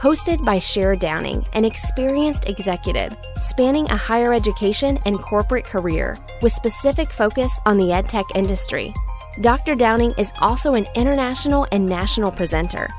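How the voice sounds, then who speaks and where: A woman announcer reads a scripted podcast opening in a measured, polished way. Her pitch is middling. A faint music bed plays beneath her. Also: animated. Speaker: show announcer. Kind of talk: scripted intro voice-over.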